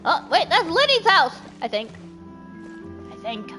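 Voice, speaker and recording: A woman with a high voice chats casually through a game stream. She thinks aloud and slips into little sing-song filler sounds, with faint game music behind her. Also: big pitch swings, unhurried, bright, forceful tone. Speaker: livestreamer playing a story game.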